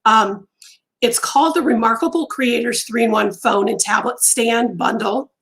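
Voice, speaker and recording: A woman hosting a casual crochet live stream from home, chatty with many ums and pauses, her voice going hoarse and interrupted by throat clearing. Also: on the high side, a bit bright. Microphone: phone on a mount.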